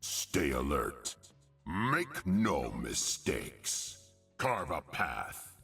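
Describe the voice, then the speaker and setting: A man playing a stern, brutal military commander in video game voice lines, with a gravelly, harsh voice and clipped commanding delivery. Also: extremely low pitch, wildly swooping pitch, extremely slow, fairly bright tone, distant mic, full character voice. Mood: grim resolve. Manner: commanding, severe, clipped battle declarations. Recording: game clips with music behind.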